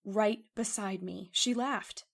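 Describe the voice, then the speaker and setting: A woman's voice: mid-range voice, steady tone, takes it slowly, vocal fry, fairly bright tone, full character voice. A woman acting a shaken young woman in a radio horror drama, talking in an emotional, pleading way. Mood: haunted. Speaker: voice actor.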